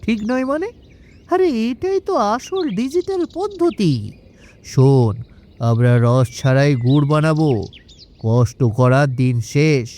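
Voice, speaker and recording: A man acts out a cocky village trickster in a cartoon. His fairly high voice swings up and down as he boasts, wheedles and brushes off any objection, always scheming for easy money. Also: very animated, unhurried, mellow, a little hiss or hum. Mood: scheming glee. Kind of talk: animated cartoon dialogue.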